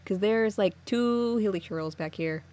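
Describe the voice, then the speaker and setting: A woman's voice: mid-range voice, a little slow, a little mellow. A young woman doing casual let's play commentary, sweary and wry with offhand reactions.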